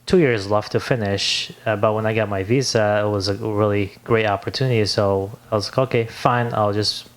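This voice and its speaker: Halting man. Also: lowish voice, steady tone. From Libya. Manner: halting, searches for words. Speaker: podcast guest, business owner. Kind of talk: casual interview chat.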